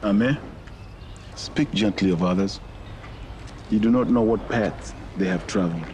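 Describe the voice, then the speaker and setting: An actor playing a calm wise man. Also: fairly low pitch, slight background noise, lightly acted. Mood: gentle. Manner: gentle, measured. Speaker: film character.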